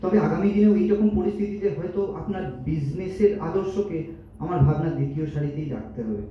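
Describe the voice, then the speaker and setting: Guy playing a humble but defiant young office worker, high voice, quick speeches, stage drama. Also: lightly acted. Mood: humble, resolute. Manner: deferential, impassioned, speechifying. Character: earnest, principled young male accountant, humble yet defiant. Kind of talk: amateur stage audio drama acting. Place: multipurpose hall stage. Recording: distant echoey hall, dull, faint noise.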